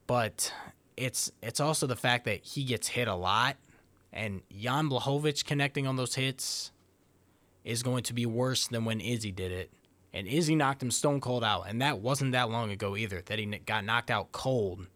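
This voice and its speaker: A man's voice: medium pitch, normal speed, fairly bright tone, no echo. A man co-hosting a sports podcast, thinking aloud through a fight breakdown in a hesitant, restarting way. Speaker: podcast co-host, fight analyst.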